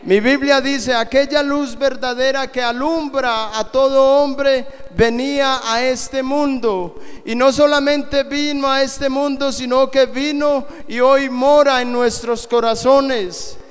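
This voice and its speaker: High-voiced fervent preacher in an echoing hall. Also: male voice, steady tone, takes it slowly, hardly any pauses, clean tone. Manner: fervent, repetitive pleading prayer, emphatic phrasing. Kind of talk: Pentecostal-style sermon with prayer. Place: small church hall with band instruments. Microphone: handheld microphone. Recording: echoey hall reverb.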